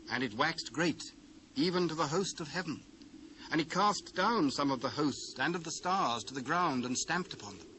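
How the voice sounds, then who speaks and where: A man's voice: medium pitch, pushed and bright, low-quality recording. A British man gives a solemn scripture narration. His voice sits in the middle register and tells the story formally, with faint noise in the recording.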